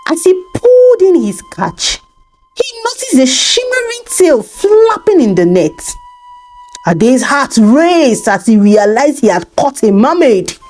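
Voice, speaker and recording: Slow, animated high-voiced woman storyteller. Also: faint music behind. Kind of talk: scripted folktale narration.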